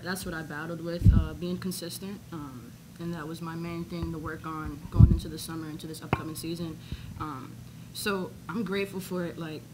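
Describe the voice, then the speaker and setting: A woman's voice: lowish voice, heavy background noise. A young woman basketball player answering at a postgame press conference, upbeat and peppered with filler ums, into a desk microphone. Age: young adult. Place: press conference room, indoors. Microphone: desk microphone on stand.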